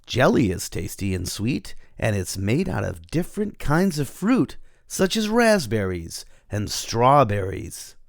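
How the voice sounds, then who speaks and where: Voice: mid-range voice, sing-song, measured pace, vocal fry, hardly any pauses. A storybook reader narrating a children's tale in the first person as a cheerful rhino.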